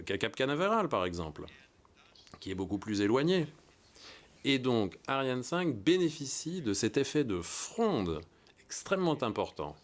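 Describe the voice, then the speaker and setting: Enthusiastic man commentating a rocket launch. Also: fairly low pitch. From United States. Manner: enthusiastic, conversational, many hesitations. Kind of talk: live event commentary.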